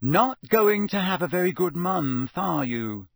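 A man voicing a bossy, earnest teenage girl campaigner, brisk and insistent, in a storybook reading. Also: a little high, animated, slightly rough, no room sound, clean studio sound, voice pushed up. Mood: sardonic.

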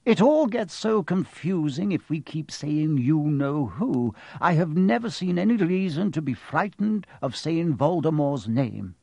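A man voicing a very old, courteous wizard for an audiobook, gentle, genial and measured. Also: fairly high pitch, sing-song, gravelly, no echo, voice pushed up, heavily acted. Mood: earnest, reasonable. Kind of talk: audiobook character voice.

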